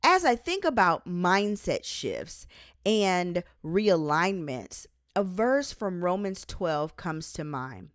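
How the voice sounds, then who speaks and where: Slow, deliberate woman reading a devotional. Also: fairly low pitch, very animated, no echo, studio quality. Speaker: author reading their own book aloud. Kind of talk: devotional audiobook narration.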